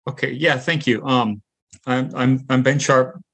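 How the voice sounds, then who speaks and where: A man's voice: medium pitch, fairly even intonation, unhurried, fairly bright tone, slightly rough. An American man from South Dakota, a scientist guest on talk radio, speaking hesitantly and with self-deprecation. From South Dakota, United States. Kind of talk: interview, personal account.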